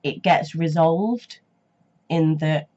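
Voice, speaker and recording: A young woman talking casually on her reaction vlog, her voice stuffy and a little rough from a cold. She speaks low and soft, rambling with lots of ums and restarts. The recording sounds dull and lo-fi. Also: takes it slowly. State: stuffy nose and sore throat from a cold. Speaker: reaction channel host.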